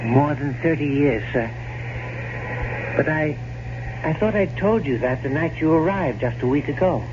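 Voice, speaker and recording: Man doing elderly loyal manservant full of dread, formal and solemn, slightly high voice, noisy old radio drama. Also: animated, normal speed, highs cut off, close to an everyday voice. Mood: deferential, solemn. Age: older. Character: old, loyal, foreboding male family servant. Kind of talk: old-time radio horror drama acting.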